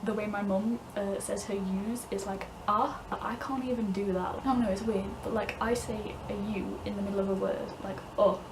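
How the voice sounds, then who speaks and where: A woman's voice: medium pitch, steady tone, normal speed, hardly any pauses, noisy background. A young woman from the English Midlands with a mixed northern and southern English accent, rambling casually to camera with frequent laughs and "like"s. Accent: English Midlands-northern with southern vowels. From England, north West Midlands. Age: young adult. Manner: chatty, laughs often, frequent "like". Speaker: vlogger. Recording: distant mic, clear room ambience.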